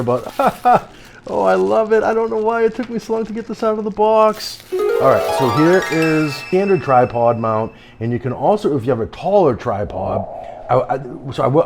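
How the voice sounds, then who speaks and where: A middle-aged man casually reviewing a product at home and on his deck, rambling, often breaking off mid-sentence and getting excited about what he finds. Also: on the low side, slight background noise. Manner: rambling, self-interrupting, easily excited. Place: home, later outdoors on a deck.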